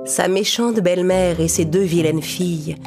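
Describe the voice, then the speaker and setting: A woman's voice: lowish voice, moderate pace, music in the background. A woman reading scripted narration for a children's fairy-tale cartoon.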